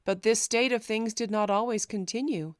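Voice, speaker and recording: A woman's voice: fairly high pitch, steady tone, moderate pace, no echo. Woman reading a historical memoir, dry close sound. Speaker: audiobook narrator. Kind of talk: scripted narration of a historical memoir.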